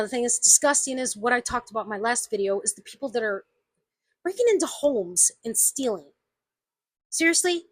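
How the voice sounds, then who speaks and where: An American woman with a slight Southern lilt, venting her anger straight into the camera. Her voice is bright and edgy, a little higher than most, and close and dry with no room around it. She hammers each point home and says it twice. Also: no echo. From United States. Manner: indignant, emphatic, repeats herself. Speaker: vlogger. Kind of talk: angry opinion rant to camera.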